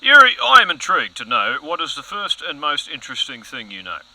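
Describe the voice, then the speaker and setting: An actor playing an overzealous, gullible TV news anchor in a satirical audio comedy, dramatic and insinuating. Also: male voice, fairly high pitch, wildly swooping pitch, a little fast, sharp tone, no room sound, heavily acted, rough recording. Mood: intrigued, suspicious. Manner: dramatic, insinuating, earnestly suspicious.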